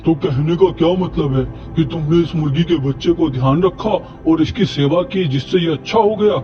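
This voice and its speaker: A man's voice: fairly high pitch, moderate pace, highs cut off, faint music behind, close to an everyday voice. A man playing a stern, fair village council headman in cartoon story dialogue. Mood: questioning.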